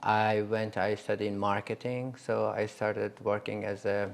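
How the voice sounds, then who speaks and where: A man's voice: fairly low pitch, level tone, measured pace, vocal fry. A man from Iran who grew up partly in Dubai, a friendly interview guest with loose phrasing. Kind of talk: casual conversation.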